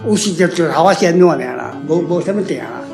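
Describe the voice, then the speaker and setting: A guest author with a Taiwanese accent answers questions in a casual group talk. The pitch is middling and the room is echoey. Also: expressive, brisk, gravelly, music in the background. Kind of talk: casual discussion, explaining.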